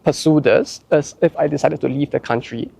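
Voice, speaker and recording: Gravelly young man with a Singaporean accent. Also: fairly high pitch, moderate pace, a little mellow. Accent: Singaporean English. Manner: rambling, heavy use of "like", frequent restarts. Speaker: channel host answering viewer questions. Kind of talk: casual chat.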